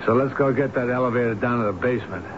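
A man's voice: medium pitch, quick pace, natural voice. A man playing a brusque, impatient repair tradesman in a radio drama, curt and pushy. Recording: muffled, slightly noisy old radio recording.

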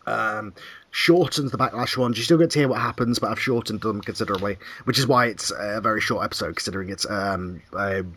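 Croaky, hoarse podcast host, man. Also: fairly low pitch, a little fast, bright, forceful tone. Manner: meandering, casually swearing; clears throat often. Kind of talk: casual podcast chat.

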